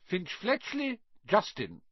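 A man's voice: high voice, sing-song, moderate pace, no echo, voice pushed up, full character voice. A man playing a strict older schoolteacher. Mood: formal. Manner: crisp formal announcing. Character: strict older woman teacher, brisk. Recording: dull muffled audio.

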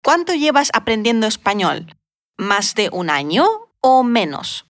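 A woman asking short comprehension questions in a language lesson, slow and clear. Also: fairly high pitch, sing-song, fairly bright tone, no room sound, studio quality.

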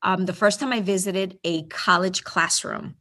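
A woman's voice: mid-range voice, fairly even intonation, moderate pace. A woman from Puerto Rico, a school superintendent, telling stories in a chatty interview. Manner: chatty, warm storytelling with fillers. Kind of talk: casual interview.

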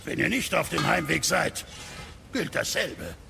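Gravelly doom-saying dwarf. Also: male voice, fairly high pitch, sing-song, unhurried, exaggerated voice. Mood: insistent gloom. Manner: insistent, doom-laden, crude. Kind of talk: dramatic video game dialogue as audio drama. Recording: music behind the voice.

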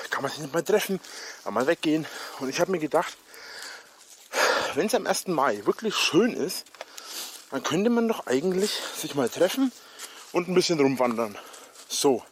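A man's voice: on the high side, lively intonation, a little hiss or hum. Breathless man vlogging on a forest walk. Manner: rambling, halting with fillers. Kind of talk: walking vlog narration. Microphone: handheld camera.

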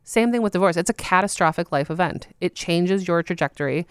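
A woman's voice: fairly low pitch, brisk, smooth, no echo, studio quality. A woman on a podcast, explaining her point firmly in casual conversation. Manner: firm and explanatory.

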